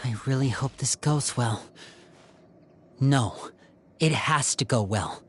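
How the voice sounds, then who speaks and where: A man's voice: medium pitch, takes it slowly, husky. A man voicing a young stage magician and caring older brother, playful yet earnest as he lays out a plan. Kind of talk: video game voice acting.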